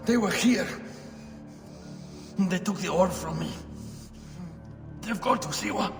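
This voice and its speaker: A man's voice: sits high, slow, gravelly, soft background music, full character voice. An actor playing a wounded, remorseful man in a game cutscene, strained and weakened as he gives warnings. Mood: remorseful, weak. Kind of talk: dramatic video game cutscene acting. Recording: echoey hall, long reverb.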